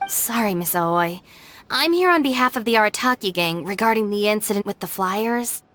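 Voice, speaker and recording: Game role: level-headed young female gang deputy played by a woman, courteous, dry, expressive, medium pitch. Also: natural voice. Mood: calm, polite. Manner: polite, measured, dryly incredulous. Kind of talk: anime-style game dialogue.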